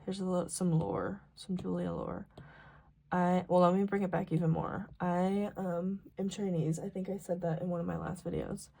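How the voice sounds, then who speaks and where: Low-pitched husky young American woman, gravelly fry. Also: fairly even intonation, moderate pace, dark tone. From rural South Dakota, US. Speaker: planner vlogger. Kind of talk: casual rambling chat while planning.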